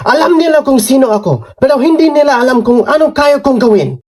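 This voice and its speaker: A man's voice: very high-pitched, animated, brisk, a little mellow. A man playing a ruthless, arrogant middle-aged governor in a radio drama, imperious and menacing, mixing in English. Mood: furious.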